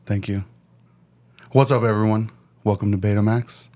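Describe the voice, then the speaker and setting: A man hosting a podcast, chatting casually in an easygoing way with a slightly raspy voice, laughing often and restarting his sentences. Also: on the low side, fairly even intonation, moderate pace, a little mellow. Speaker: podcast host. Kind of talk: casual chat.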